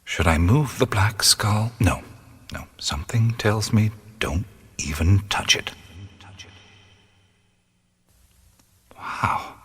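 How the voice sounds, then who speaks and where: An actor playing a curious, wry male explorer who talks to himself in hushed half-whispers, in a studio radio drama. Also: fairly low pitch, lively intonation, slow, husky, heavily acted. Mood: awed. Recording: studio radio play with music behind. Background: music.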